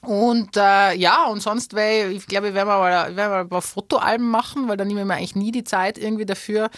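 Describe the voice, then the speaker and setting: A woman with a Tyrolean Austrian accent chats openly as an interview guest, often pausing with fillers and restarting her sentences. Her voice sits in an ordinary middle pitch. The recording is close and dry. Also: fairly even intonation, no room sound. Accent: Tyrolean Austrian German. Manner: chatty, lots of fillers and restarts. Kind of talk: casual interview chat.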